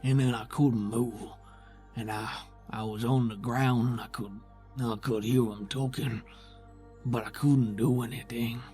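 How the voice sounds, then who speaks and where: A man playing a weary, protective, tentacled creature in a tabletop roleplay, with halting, folksy, plainspoken speech. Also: mid-range voice, steady tone, mellow, soft background music, lightly acted. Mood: troubled, regretful.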